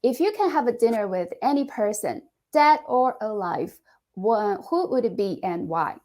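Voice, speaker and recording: A woman hosting a casual podcast interview. She asks quick icebreaker questions and laughs often. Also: medium pitch, expressive, darkish tone. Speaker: podcast host.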